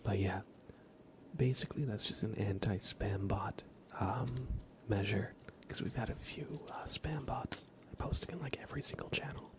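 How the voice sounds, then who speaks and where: A man's voice: lowish voice, normal speed. A man hosting an ASMR livestream in a whispered, casual and hesitant manner, chatting and commentating. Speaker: live streamer, host.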